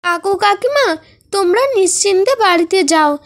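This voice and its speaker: A performer voicing a plucky, loyal little ghost boy in an animated moral story, earnest and exclamatory. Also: very high-pitched, normal speed. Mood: earnest, determined.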